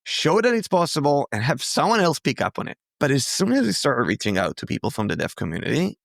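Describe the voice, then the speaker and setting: A man with a noticeable creak in his voice talks conversationally, like a founder being interviewed. His pitch sits in a middle range for a man, and the sound is dry and close, with no room around it. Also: sing-song, fast talker, a bit bright, no room sound. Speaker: startup founder, interview guest.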